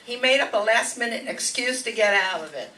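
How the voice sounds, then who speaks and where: A woman playing a brisk, managing housewife in an old-time radio sitcom, persuasive and take-charge. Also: on the high side, lively intonation, bright, forceful tone, far from the mic, poor audio. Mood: coaxing.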